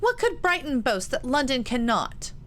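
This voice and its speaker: A woman reader puts on a spoiled young lady from a period storybook, given to sulks and boasting. Her voice sits high and her delivery is indignant and dramatic. Some background noise runs under the recording. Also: sing-song, moderate pace, a bit bright. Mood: challenging. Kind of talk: audiobook character dialogue.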